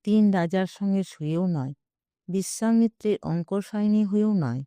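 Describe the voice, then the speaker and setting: A woman's voice: medium pitch, normal speed, warm tone, smooth, dry recording. A woman reading a scripted literary story in the first person, with a wry, dramatic manner. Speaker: story narrator.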